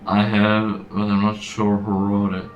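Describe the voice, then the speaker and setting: A man giving casual gameplay commentary in a halting, dryly sarcastic way. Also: lowish voice, monotone, echoey room, muffled recording, soft background music. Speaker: game streamer.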